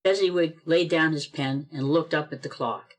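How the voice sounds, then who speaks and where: A man's voice: a little high, moderate pace. Man narrating a radio play. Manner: expressive storytelling. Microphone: video call.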